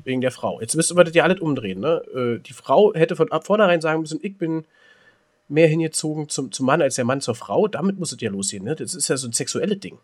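A man's voice: on the high side, expressive, quick pace. A German man co-hosting a podcast in casual, unscripted banter, speaking in a blunt, colloquial way with crude slang. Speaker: podcast co-host.

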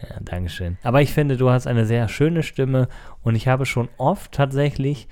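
A man's voice: mid-range voice, moderate pace, a little mellow, smooth. A man co-hosting a casual podcast in a conversational, explanatory way, speaking close to the microphone at a table. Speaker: podcast co-host and recording engineer. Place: seated at a table.

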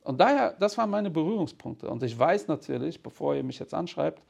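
A man's voice: a little high, expressive, a little fast, gravelly, a little mellow, husky. A man, telling stories casually and candidly in colloquial language. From Cologne, Germany. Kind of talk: casual conversational storytelling.

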